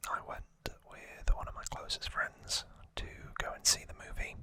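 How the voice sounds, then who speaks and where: An ASMR host speaking in an unhurried, informal whisper, chatting through commentary close to the microphone. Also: sharp tone. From United Kingdom. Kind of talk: whispered ASMR, relaxed show-and-tell commentary.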